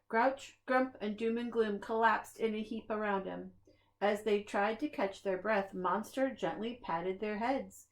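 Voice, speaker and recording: A woman reading a children's picture book aloud in an expressive, deliberate storytelling manner, as if to young listeners. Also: mid-range voice, rough recording. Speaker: preschool staff reader.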